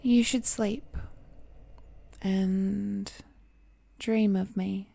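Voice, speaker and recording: An ancient cursed villainess, acted by a woman, speaks slowly and intimately, slipping toward a whisper. Her voice is dark and soft with some gravel in it, possessive and brooding, as in a romantic audio drama. A faint noise sits behind her. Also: mid-range voice, fairly even intonation, no echo, exaggerated voice. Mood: adoring, possessive. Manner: breathy, slow, half-whispered, dramatic pauses, soft sighs and chuckles.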